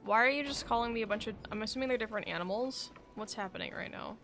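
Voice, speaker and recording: Girl streamer rambling casually over a game, some vocal fry, medium pitch, faint music. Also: quick pace, fairly bright tone. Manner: rambling, self-questioning asides. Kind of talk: casual livestream chat over gameplay.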